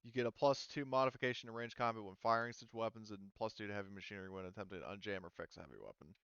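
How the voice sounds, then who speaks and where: Male game master, clean resonant lower voice, casual rules talk, slightly dull dry audio. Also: rapid-fire, a bit bright, smooth, no echo. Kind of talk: casual tabletop rules explanation.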